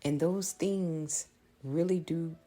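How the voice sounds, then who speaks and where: A woman, thinking out loud in a reflective, prayerful monologue, halting and earnest as she repeats phrases. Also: low voice, steady tone. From small-town Louisiana, United States. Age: late 30s. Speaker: podcast host.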